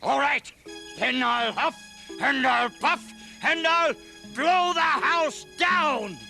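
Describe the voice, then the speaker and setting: A man's voice: very high voice, expressive, slow pace, gravelly, bright, forceful tone, faint music behind, exaggerated voice. A man voicing a sly, blustering big bad wolf in a puppet comedy, with a wheedling, hammy villain delivery. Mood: menacing. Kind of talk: puppet comedy fairy-tale acting.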